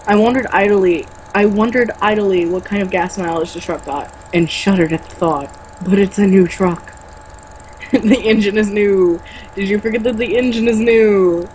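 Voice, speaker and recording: A woman's voice: medium pitch, moderate pace, darkish tone, a little hiss or hum, rough recording. A woman reading a novel aloud and breaking into exasperated, sarcastic, sweary commentary and casual banter.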